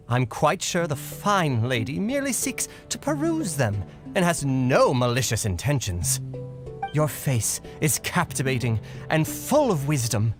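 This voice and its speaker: A man voicing a reverent, flattering subject of a fantasy kingdom in an anime game, speaking in florid, deferential phrases over music. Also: a little high. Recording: music behind the voice.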